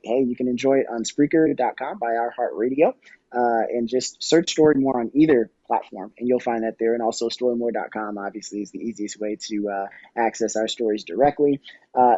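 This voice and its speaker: A man with a mellow, dark-toned voice of average pitch speaks as an interview guest. He is discursive and halting, thinking aloud as he goes. The recording is dry and slightly dull. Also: husky, no room sound. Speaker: podcast guest, audio storytelling company executive. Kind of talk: conversational interview answers.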